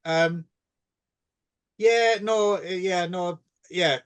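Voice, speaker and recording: Male podcast co-host, high voice, sarcastic joker who fills every pause. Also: clear, resonant tone. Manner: jokey and sarcastic, with many fillers. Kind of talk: casual film podcast chat.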